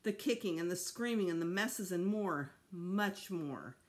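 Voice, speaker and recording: A woman's voice: on the low side, animated, unhurried. A middle-aged woman reading a children's storybook aloud expressively at home, like a teacher. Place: small room at home.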